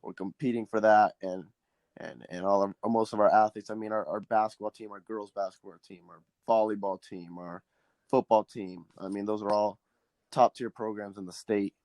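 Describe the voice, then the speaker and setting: A teenage quarterback from Southern California, a native Spanish speaker, answering interview questions earnestly with many ums and restarts. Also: male voice, lowish voice, steady tone, moderate pace, a little mellow, dry recording. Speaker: high school quarterback guest.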